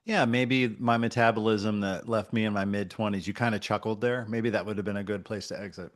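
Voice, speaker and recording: Male coach, lowish voice, thoughtful and encouraging, conversational feedback with pauses. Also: steady tone. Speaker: radio coach.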